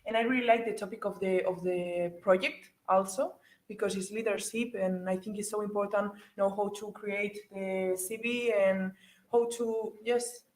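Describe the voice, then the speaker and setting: Teen woman from Spain, mid pitch, halting answers, echoey room. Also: fairly even intonation, darkish tone. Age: teens. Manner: halting, searching for words. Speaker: participant. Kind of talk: interview answers. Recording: echoey space.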